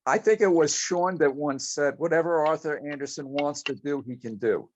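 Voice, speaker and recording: A man reminiscing casually on a group video call, speaking in halting, hesitant phrases. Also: fairly high pitch, slight background noise. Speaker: longtime convention committee member. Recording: dull lo-fi video call audio.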